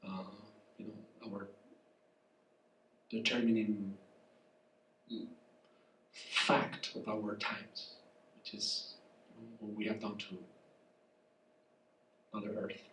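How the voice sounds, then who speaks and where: Spanish-accented man, congested, soft and halting, miked from afar in an echoey café with faint chatter behind. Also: lowish voice, flat delivery, a little hiss or hum. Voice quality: soft, weak voice. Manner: halting, searching for words, apologetic. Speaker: guest professor. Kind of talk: public conversation, reflective lecture talk. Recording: echoey room from far off.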